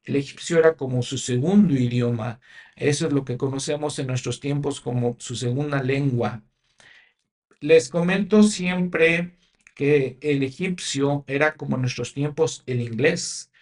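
A man's voice: mid-range voice, unhurried. A Spanish-speaking man hosting a religious podcast, explaining history in a teaching manner, with frequent fillers and restarts. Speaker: podcast host.

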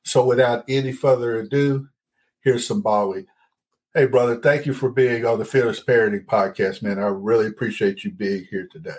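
A man's voice: medium pitch, steady tone, normal speed, darkish tone. Man hosting a parenting podcast. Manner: friendly, calls guest brother. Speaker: podcast host. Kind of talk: podcast interview.

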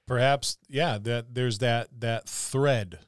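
A man's voice: mid-range voice, takes it slowly, clear, resonant tone, no room sound, clean studio sound. A man co-hosting a podcast, chatting casually about tabletop gaming in a joking, musing way. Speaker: podcast co-host.

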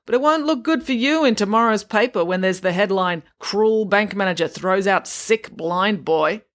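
A woman's voice: mid-range voice, normal speed, pitched above the performer's own voice, lightly acted. A woman voicing a bold, argumentative girl in a children's story, with a defiant, challenging manner.

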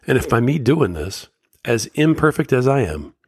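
A man's voice: medium pitch, very animated, normal speed, a little mellow, breathy edge, dry recording, studio quality. A man hosting a solo podcast, talking candidly and motivationally, his voice slightly scratchy from a summer cold. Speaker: podcast host.

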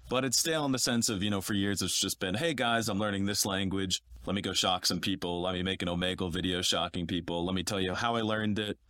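A man's voice: deep voice, steady tone, quick pace, few pauses, sharp tone, no room sound. A man in his twenties, a podcast guest chatting casually in a playful, self-mocking way. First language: English. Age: 20s.

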